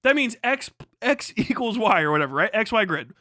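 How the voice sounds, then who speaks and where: A man's voice: high-pitched, sing-song, brisk, pushed and bright, no echo, studio quality. A man on a casual, emphatic livestream rant, speaking off the cuff, repeating himself for emphasis and swearing freely. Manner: emphatic, repetitive, profane.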